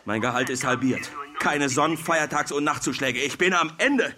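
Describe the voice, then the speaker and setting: An actor playing an aggrieved, confrontational working man at his wits' end in a radio drama, forceful and accusing. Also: fairly high pitch, animated, moderate pace, a crowd in the background, natural voice. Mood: bitter, angry.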